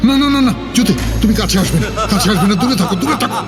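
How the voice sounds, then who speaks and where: An uneasy newlywed husband in a horror audio drama. His voice sits a little high and swings widely in pitch, with music clearly playing beneath it. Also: lively intonation, racing, over a noisy crowd. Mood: panicked. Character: newlywed husband, bank employee, uneasy in new house.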